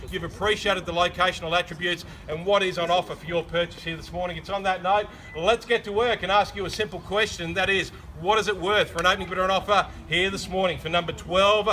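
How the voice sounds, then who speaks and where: Loud, bright Australian auctioneer outdoors. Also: male voice, sits high, a little hiss or hum. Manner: loud, projecting, formal salesman patter. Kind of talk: outdoor property auction spiel. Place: outdoors on a suburban street.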